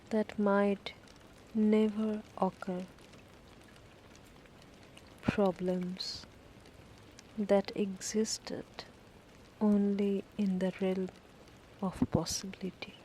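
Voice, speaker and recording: A woman narrating a guided sleep meditation story in short, deliberate phrases with long pauses between them. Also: mid-range voice, warm tone, slight background noise. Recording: rain ambience behind the voice. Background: falling rain.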